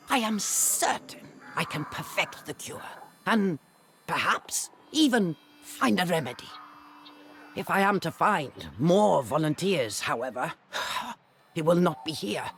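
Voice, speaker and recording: A woman's voice: fairly low pitch, a bit bright, heavily acted. A woman playing a haughty, driven researcher dictating a journal in a fantasy video game, clinical, disdainful and theatrical. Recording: clearly noisy ambient background.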